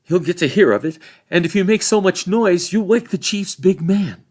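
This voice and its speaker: A man's voice: high-pitched, animated, moderate pace, darkish tone, pitched above the performer's own voice. A man voicing a nervous rustic hobbit villager with country grammar. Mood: anxious warning. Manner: warning, rural grammar.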